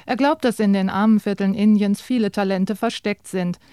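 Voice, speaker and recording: A woman reading a scripted radio feature in an even, composed way. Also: mid-range voice, moderate pace, clear, resonant tone, dry recording, clean studio sound. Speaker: radio narrator.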